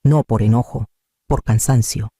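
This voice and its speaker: Male story narrator, very mellow tone, deliberate scripted delivery, short phrases, dry studio-like sound. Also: mid-range voice, fairly even intonation, husky, dry recording. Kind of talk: scripted dramatic narration, first-person story.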